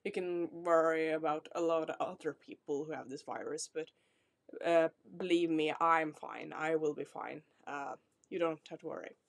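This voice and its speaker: A woman's voice: deep voice, fairly even intonation, normal speed, gravelly. A young Norwegian woman in her twenties with a Norwegian accent, chatting casually to camera about herself, apologetic and reassuring. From Norway. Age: 20s. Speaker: vlogger. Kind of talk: casual self-introduction to camera. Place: small decorated room indoors.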